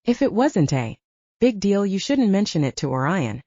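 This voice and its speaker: A woman's voice: medium pitch, sing-song, normal speed, warm tone, husky, dry recording, lo-fi sound. Woman playing clipped, stern, protective man. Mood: stern, curt. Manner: clipped, commanding. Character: stern, protective supernatural man, curt and formal.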